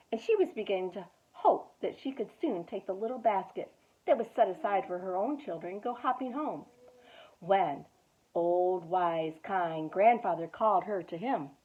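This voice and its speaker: A woman reads a children's storybook aloud as a youth director, expressive and dramatic, with well-timed pauses. Her voice sits mid-range for a woman. The audio is narrow and phone-like. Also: mid-range voice.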